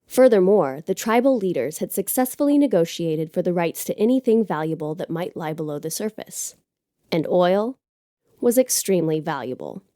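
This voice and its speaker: Low, mellow woman reading a story. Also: lively intonation, normal speed, no echo, clean studio sound. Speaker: guest story reader. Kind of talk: scripted storytelling narration.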